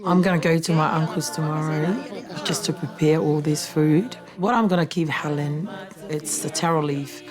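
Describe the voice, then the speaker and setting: A woman's voice: low voice, moderate pace. A woman from Samoa with a Samoan accent, sharing her personal story in a documentary interview. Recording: loud music bed behind voice.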